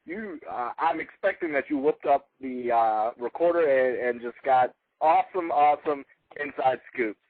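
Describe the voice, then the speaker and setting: A man hosting a radio talk show, teasing his co-host with quick questions. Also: mid-range voice, no room sound, narrow, tinny audio. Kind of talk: casual chat, hosting.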